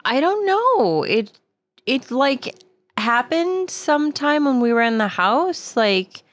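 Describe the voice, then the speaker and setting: A woman's voice: sits high, big pitch swings, takes it slowly. A woman playing a tabletop roleplaying game, chatting in character in a casual, hesitant way peppered with filler words. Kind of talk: casual in-character improvised chat. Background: background music.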